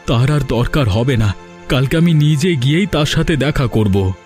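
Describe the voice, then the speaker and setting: A man's voice: medium pitch, animated, moderate pace, darkish tone, faint music behind, close to an everyday voice. A man voicing a humble, hopeful poor village father in a story cartoon, earnest and deferential. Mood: proud, hurt. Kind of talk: Bengali moral story cartoon dubbing.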